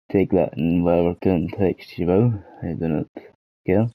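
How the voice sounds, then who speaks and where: A Scottish man with a cold and a hoarse throat, rambling and swearing in a self-deprecating way through a casual gaming vlog. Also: low-pitched, steady tone, slow pace, no room sound, phone-quality sound. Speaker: gaming channel host.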